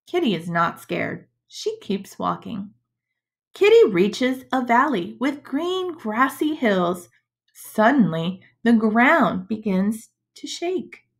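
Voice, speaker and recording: A woman schoolteacher reads a picture book aloud to children. Her voice sits a little high and swings widely in pitch. She takes her time, speaking deliberately and expressively for young listeners. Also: sing-song, gravelly. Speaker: schoolteacher reading to pupils. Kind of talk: storybook read-aloud for children. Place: indoors, webcam screen recording.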